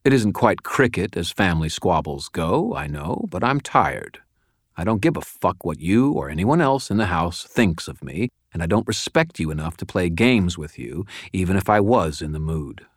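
A man voicing a calm, weary young aristocrat in an audiobook, measured and cutting with quiet menace. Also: low voice, no echo, clean studio sound, heavily acted.

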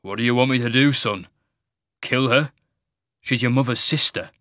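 A man's voice: mid-range voice, moderate pace, sharp tone, no room sound, clean studio sound, close to an everyday voice. A man voicing a weary, conflicted older warrior father, plain-spoken and growling, in a fantasy audiobook. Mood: helpless. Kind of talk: dramatic fantasy audiobook character.

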